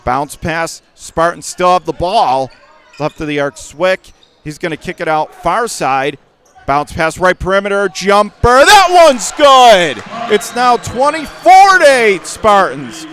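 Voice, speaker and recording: A man doing live radio play-by-play of a basketball game in clipped running phrases, with excitable calls. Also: high voice, slow. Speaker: play-by-play commentator.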